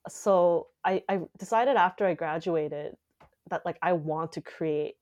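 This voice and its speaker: A young woman from Canada talks as an interview guest, her voice sitting a little low. She speaks loosely, padding her sentences with fillers as she goes. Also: a little slow, darkish tone, lo-fi sound. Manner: casual, many filler likes and ums. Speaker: playwright guest. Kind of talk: conversational interview.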